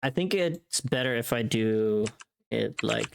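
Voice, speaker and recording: A woman's voice: extremely low pitch, animated, unhurried, vocal fry, a little hiss or hum. A woman livestreamer chatting casually and informally while she works, thinking aloud.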